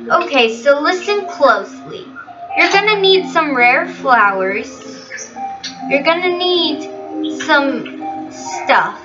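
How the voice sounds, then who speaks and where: Mysterious, helpful game witch, played by a woman, high and muffled, music behind. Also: expressive, takes it slowly. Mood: instructive. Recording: muffled, distant mic under loud music.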